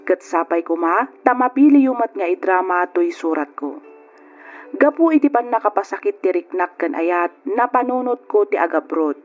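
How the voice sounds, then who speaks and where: An actress playing a heartsick letter writer who narrates a sad life story in an old radio drama. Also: female voice, medium pitch, expressive, normal speed, natural voice. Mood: sorrowful. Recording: dull lo-fi radio, music underneath.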